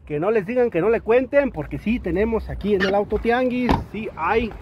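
A Mexican man showing cars at an outdoor market, talking in a lively, salesy way full of slangy diminutives. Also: high-pitched, normal speed, highs cut off. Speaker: used-car market vlogger. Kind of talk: walk-around car showcase. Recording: outdoor street noise.

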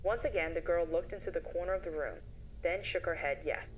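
A woman playing a composed child therapist on session tapes in an analog horror video, gently questioning and dictating notes. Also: fairly low pitch, faint music behind, close to an everyday voice, rough recording. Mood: gentle, probing. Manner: gentle questioning, measured note-taking. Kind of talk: analog horror tape acting. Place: played back from a horror video.

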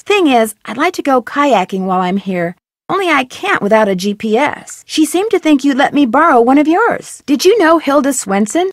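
A woman's voice: a little high, dry recording, clean studio sound. An actress voicing a curious, upbeat young female amateur detective in a video game. She speaks in an inquisitive, friendly, plucky way. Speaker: game protagonist and tutorial guide.

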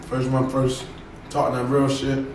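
A man's voice: medium pitch, monotone, noisy background. Mumbling young man, roomy and distant. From Baltimore. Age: young adult. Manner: mumbled, halting street slang. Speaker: rapper. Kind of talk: casual interview.

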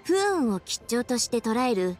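Young female game official: high register, poised, earnest, explanatory delivery. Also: big pitch swings, normal speed, faint music behind. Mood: pleased, reflective. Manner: composed, articulate, explanatory. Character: poised, thoughtful young woman official devoted to tradition. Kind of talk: anime video game voice acting.

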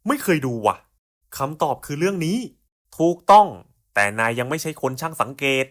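A man's voice: on the high side, big pitch swings, normal speed. A man voicing scripted cartoon puzzle skits in casual, slangy, coarse buddy-style banter.

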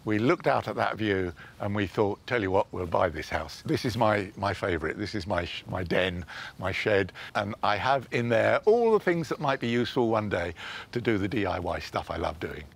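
A man's voice: on the low side, lively intonation, normal speed, creaky, husky. An older man, a retired English vicar, chatting genially in a documentary interview recorded on location with a lapel mic. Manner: chuckling, genial. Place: garden and church, on location.

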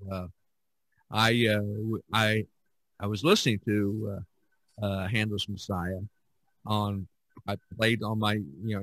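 A man hosting a casual podcast chat, reminiscing in a halting, rambling way and often repeating his words. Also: on the low side, a bit bright, dry recording, low-quality recording. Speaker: podcast host.

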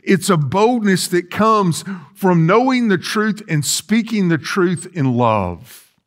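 A man's voice: sits high, animated, slow. A middle-aged man preaching a sermon in a large church sanctuary, pacing and gesturing as he talks with earnest restarts. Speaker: pastor. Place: large church sanctuary with rows of chairs, indoors.

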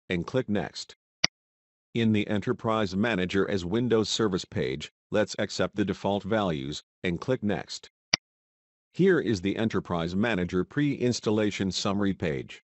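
A man reading a scripted instructional narration in a measured, step-by-step manner, as a software tutorial voice-over. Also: lowish voice, dry recording.

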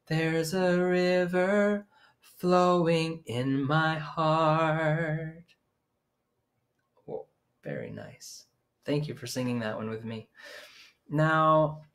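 A man in his thirties leading young children in songs with hand signs, gentle and encouraging, recorded on a webcam in a small room at home. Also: on the high side. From Maine, US. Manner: gentle, encouraging, slow sing-along. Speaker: children's music teacher. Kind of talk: singing children's songs with sign language. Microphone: webcam at desk distance.

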